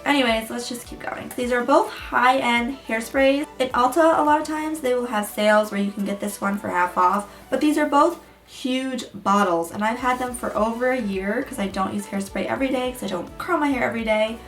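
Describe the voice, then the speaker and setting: A young woman, a little hoarse, chatting her way through a hair tutorial with lots of ums and likes. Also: on the high side, moderate pace, hardly any pauses, faint music behind. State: hoarse, getting her voice back. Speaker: beauty vlogger. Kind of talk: casual hair tutorial chat. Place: room at home beside a window. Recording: echoey room, mic a bit far.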